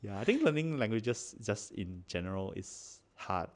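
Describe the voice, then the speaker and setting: Chatty Malaysian man with a low voice. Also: moderate pace. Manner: relaxed, chatty, laughs often, many fillers. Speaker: podcast co-host. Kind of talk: casual chat. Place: living room, on a sofa. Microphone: handheld mic.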